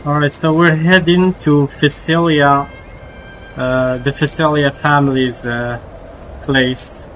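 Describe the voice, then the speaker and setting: A male streamer gives casual live game commentary in a slightly high voice. He talks slowly and haltingly, repeating slangy phrases, with game music faintly behind him. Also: fairly even intonation, rough recording. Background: game music and sound.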